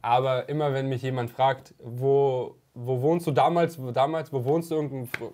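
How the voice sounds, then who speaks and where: A young man in his twenties, a football player as a guest, chatting casually and relaxed, often trailing off quietly. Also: mid-range voice, normal speed, slight background noise. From Germany. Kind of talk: casual chat.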